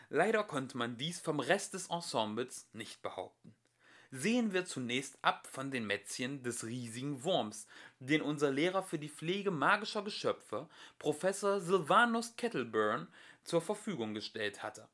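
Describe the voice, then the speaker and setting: Male amateur audiobook reader. Bright tone, very animated melody, theatrical emphasis on a scripted storybook text. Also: medium pitch. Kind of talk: scripted storybook narration, reading aloud.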